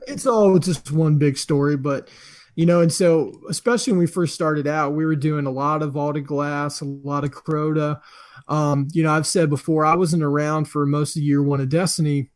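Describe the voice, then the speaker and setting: A man's voice: a little high, steady tone, normal speed, dry recording. A man hosting a casual podcast, telling a long story with many fillers and easy laughs. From Florida. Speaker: podcast host.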